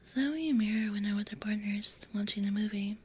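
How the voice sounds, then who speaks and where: A woman narrating a bedtime story in ASMR style, in a hushed, gentle whisper close to a binaural microphone. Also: mid-range voice, brisk, breathy edge.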